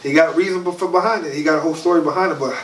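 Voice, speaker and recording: A man's voice: on the high side, racing. Stuffed-up man chatting on a podcast. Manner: laid-back, chatty, frequent "you feel what I'm saying". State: stuffed-up nose. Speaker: podcast co-host. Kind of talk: casual podcast chat. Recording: echoey room, mic at a distance, faint noise.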